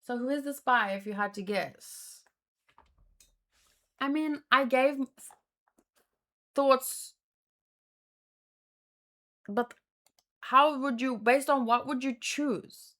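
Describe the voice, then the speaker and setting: A woman with a fairly high voice hosts a casual read-along commentary. She speaks slowly and muses out loud. Her delivery is hesitant, with words often repeated as she thinks. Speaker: host of a manga read-along.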